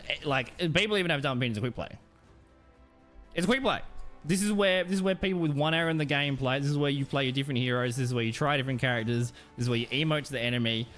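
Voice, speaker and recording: A man's voice: mid-range voice, quick pace, fairly bright tone, faint music behind. A man streaming a game live, chatting casually with viewers and giving rambling, blunt commentary full of slang and some swearing.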